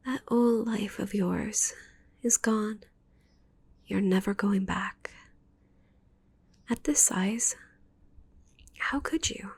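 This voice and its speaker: A woman playing a needy, possessive witch in an intimate ASMR roleplay, cooing with soft menace in a breathy whisper. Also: mid-range voice, exaggerated voice. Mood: possessive, menacing. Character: possessive, needy young witch doting on shrunken captive.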